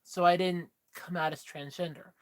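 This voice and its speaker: A woman's voice: lowish voice, steady tone, moderate pace. A woman in her thirties chatting candidly with viewers on a livestream, telling a personal story in a rambling way full of fillers. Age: 30s. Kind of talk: casual chat with viewers.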